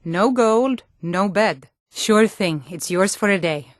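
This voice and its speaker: A woman's voice: medium pitch, slow, dry recording, studio quality, natural voice. An actress playing a stern, suspicious, hot-tempered Nord townswoman in a fantasy video game, speaking in a blunt, challenging way. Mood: businesslike. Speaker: game character voice.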